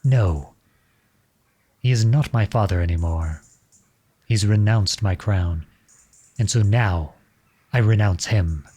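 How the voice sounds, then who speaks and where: A man's voice: lowish voice, slow pace, pauses often, warm tone. A man playing an impassioned, defiant young prince turned soldier in a scripted audio roleplay, with an earnest, dramatic delivery. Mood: bitter, indignant.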